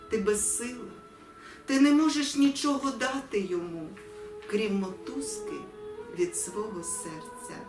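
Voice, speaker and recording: A woman from Ukraine reading poetry in a solemn, deliberate, expressive way with dramatic pauses. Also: fairly high pitch. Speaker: poetry reader. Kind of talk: solemn poetry recitation with spoken introduction. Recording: echoey room, distant mic, music behind.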